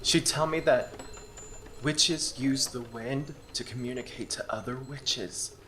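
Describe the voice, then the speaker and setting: A man's voice: mid-range voice, slow, sharp tone, a little hiss or hum. A man playing an anxious, irritable young woman in a horror audio drama, sarcastic and defensive, with an intense way of telling a tale. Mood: hushed, grave storytelling.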